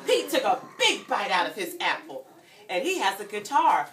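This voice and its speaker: A woman's voice: medium pitch, very animated, normal speed, a bit bright. A woman reading a story aloud to young children, asking questions and prompting them to repeat as a teacher would. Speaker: guest reader and teacher at children's story time. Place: indoor room with a group of children. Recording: roomy, distant mic with children around. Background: children's voices.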